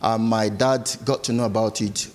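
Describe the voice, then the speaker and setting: Nigerian-accented man, low voice, easygoing guest who laughs a lot and pauses on ums. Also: steady tone. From Lagos, Nigeria. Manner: laughs easily, hesitant with many ums. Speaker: guest, business founder. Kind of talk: casual interview chat.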